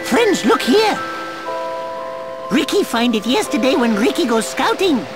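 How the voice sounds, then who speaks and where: A little furry video game creature with a high, very lively voice. It is cheerful, boastful and fatherly, and speaks of itself in simple broken sentences. Music plays clearly behind it. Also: unhurried. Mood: upbeat, eager. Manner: simple broken grammar, speaks of self in third person. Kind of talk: video game cutscene voice acting.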